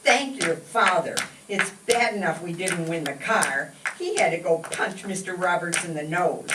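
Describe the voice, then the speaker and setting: A woman's voice: fairly low pitch, measured pace, lightly acted. A woman playing a long-suffering, practical wife and mother in an old-time radio comedy, exclamatory and scolding yet fond. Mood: exasperated. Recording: echoey, distant mic, noisy room.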